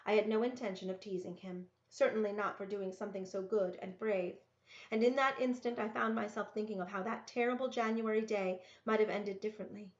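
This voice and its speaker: A woman reading a story aloud in an expressive storybook narration style. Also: medium pitch, brisk. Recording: roomy, echoey, dull lo-fi sound.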